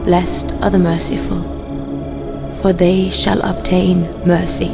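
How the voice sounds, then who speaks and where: An actress playing a gentle, solemn mother from memory, reciting scripture in a radio drama. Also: fairly low pitch, flat delivery, slow, creaky, music in the background. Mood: solemn, tender.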